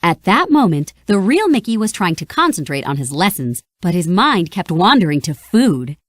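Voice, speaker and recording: Casting: female storybook narrator, expressive and dramatic, very lively pitch, dry close sound. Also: moderate pace, no echo. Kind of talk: scripted children's read-along narration.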